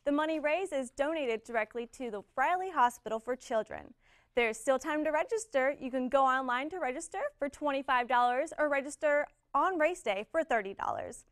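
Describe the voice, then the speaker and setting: A young woman, a student news anchor and reporter, reading scripted news in a crisp, even newscaster delivery. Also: sits high, brisk, dry recording, studio quality. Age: young adult.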